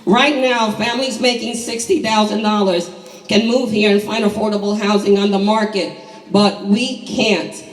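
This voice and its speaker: Hoarse older woman speaking in an echoey hall. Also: medium pitch, fairly even intonation. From New York City. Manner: emphatic, deliberate rhetorical delivery. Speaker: community housing activist. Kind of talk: prepared public speech at a town hall. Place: large indoor community hall. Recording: echoey hall, distant microphone.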